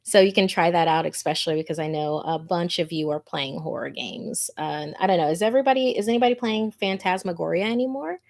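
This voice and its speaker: Chatty woman with a lower-set voice. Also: fast talker, few pauses. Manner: chatty, informal, easily amused. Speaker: host of a scary story show. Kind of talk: casual livestream chat and reading listener messages and stories aloud.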